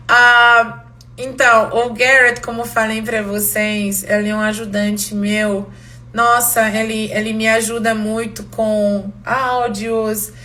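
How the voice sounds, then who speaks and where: Lively Hungarian-accented woman teacher. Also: on the high side. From Romania. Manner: lively, switching between Portuguese and English, laughing often. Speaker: language teacher. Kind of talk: live online class.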